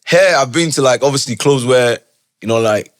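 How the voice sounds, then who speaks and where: A man's voice: medium pitch, moderate pace. A man hosting a podcast, chatting casually with slang and easy laughter. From Nigeria. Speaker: podcast host. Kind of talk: casual chat.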